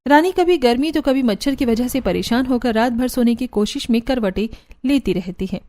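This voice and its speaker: A woman's voice: fairly high pitch, normal speed, warm tone. A woman working as a narrator, reading a scripted story.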